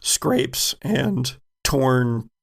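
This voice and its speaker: A man with a gravelly voice narrating an improvised tabletop game as the game master. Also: medium pitch, expressive, drawn-out words.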